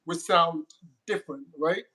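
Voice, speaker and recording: A middle-aged man with a West African accent talks in a candid interview, and his voice sits high for a man. He speaks emphatically and doubles back on words as he drives each point home. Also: a little slow, crowd noise. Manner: emphatic, repeats words when pressing a point.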